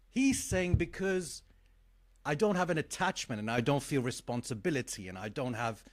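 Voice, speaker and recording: A man co-hosting a talk show in casual, reflective conversation, thoughtful and halting as he searches for words. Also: on the high side.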